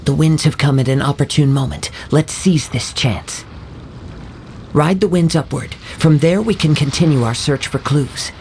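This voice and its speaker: A young man voicing a calm, poetic wandering samurai, speaking in a measured way, in video game voice acting. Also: fairly high pitch, noisy background, lightly acted. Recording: soft game music underneath.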